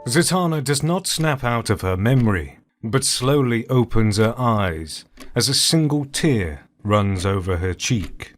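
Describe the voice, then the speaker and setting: A man's voice: mid-range voice, animated, a little slow, hardly any pauses, soft background music. A man narrating a scripted audio drama as its storyteller.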